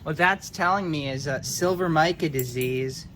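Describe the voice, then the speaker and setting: A man's voice: fairly high pitch, normal speed, a bit bright, slight background noise. A man giving casual, hands-on commentary as a hobbyist electronics repairer, offhand and muttering as if to himself.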